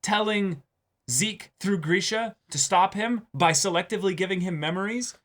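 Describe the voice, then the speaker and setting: Bright-voiced man co-hosting a reaction show. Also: high voice. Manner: halting, repeats his phrases. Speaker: co-host of an anime reaction show. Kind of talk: casual discussion and commentary.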